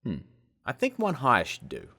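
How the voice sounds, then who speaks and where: A man hosting a casual software tutorial in a cheeky, self-mocking way, with jokey asides. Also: mid-range voice, huge pitch swings, normal speed, creaky. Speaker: host of a video-editing tutorial show. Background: music.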